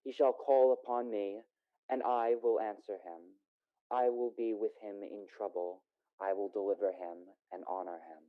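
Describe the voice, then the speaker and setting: An actor playing an earnest, gentle young son of a poor family in a solemn radio play. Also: male voice, fairly low pitch, steady tone, moderate pace, lightly acted. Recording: tinny phone-like lo-fi sound.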